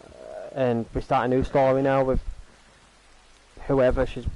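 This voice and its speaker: A man's voice: medium pitch, flat delivery, a little slow, a little mellow, highs cut off. A young man casually chatting with his live-stream audience while he plays, earnest and rambling, often repeating himself over a gaming headset at home. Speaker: game streamer.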